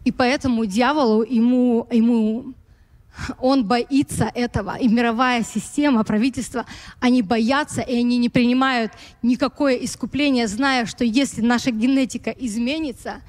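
A woman preaching a sermon in Russian, speaking slowly and pausing to search for words, her Russian touched by long years in America. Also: a little high, steady tone, a little mellow, in a large hall. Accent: American-influenced Russian. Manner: slow, searching for words, with light laughs.

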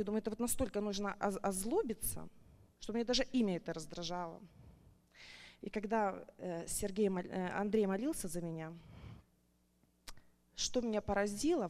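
A woman in her thirties giving an emotional, heartfelt church testimony at a retreat meeting, her voice climbing high when she gets excited. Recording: long reverb of a large hall.

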